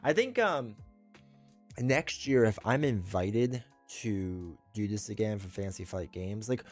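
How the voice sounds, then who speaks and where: A man with a congested, stuffed-up voice hosts a casual live stream, talking to his viewers. His speech rambles and restarts, with blunt opinions. Soft music plays faintly behind him. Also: fairly low pitch, no echo. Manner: rambling, self-correcting, opinionated. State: stuffed up from a cold. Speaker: streamer host.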